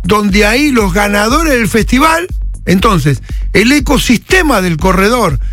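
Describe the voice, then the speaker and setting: Man, high-pitched, eager interview guest who rambles; radio sound with light music bed. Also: lively intonation, unhurried, fairly bright tone. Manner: enthusiastic, rambling, hesitant fillers. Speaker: festival director, guest. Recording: radio broadcast with faint music.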